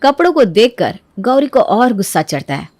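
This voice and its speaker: Female storyteller, very high voice, dry recording, scripted narration for an animated domestic tale. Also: moderate pace, no room sound.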